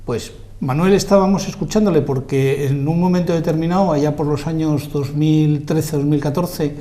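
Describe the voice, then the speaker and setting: A man with a Madrid accent, a guest telling stories about his life in a relaxed, modest way in a TV studio interview. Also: a little high, moderate pace. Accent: Madrid Spanish. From Spain, Madrid. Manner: self-deprecating storyteller, modest. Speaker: guest, lawyer and journalist.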